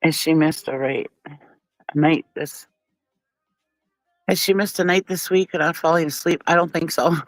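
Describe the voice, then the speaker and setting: A woman's voice: low-pitched, normal speed, long pauses, no room sound, faint music behind, poor audio. A woman with a stuffy nose from a cold, hosting a casual livestream and chatting bluntly with viewers, often laughing and swearing. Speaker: livestream commentary host.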